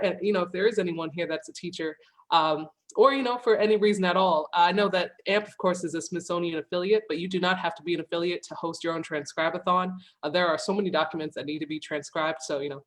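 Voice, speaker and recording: A woman hosting a program, sharing informal remarks in a slightly halting way with repeated words. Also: low voice. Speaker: program host and moderator.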